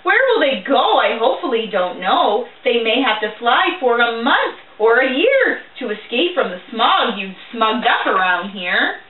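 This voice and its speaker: A woman voicing a small grumpy male forest creature who scolds in croaky rhyming verse, read from a storybook. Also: a little high, sing-song, a little slow, hardly any pauses. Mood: scolding. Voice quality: croaky, coughing. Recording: echoey tinny recording from far off.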